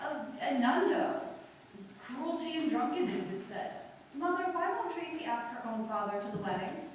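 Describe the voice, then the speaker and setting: A woman's voice: sits high, animated, moderate pace. A woman acting an outspoken, gossipy teenage sister in a live old-time radio play. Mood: nosy, insistent. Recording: very echoey hall, distant mic, faint noise.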